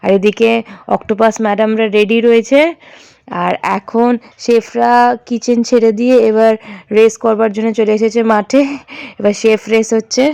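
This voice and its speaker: A woman's voice: fairly high pitch, little pitch movement, normal speed, gravelly, mellow, clean tone, no echo. A woman in her thirties casually narrating her son's school sports day, chatty and mixing English words in.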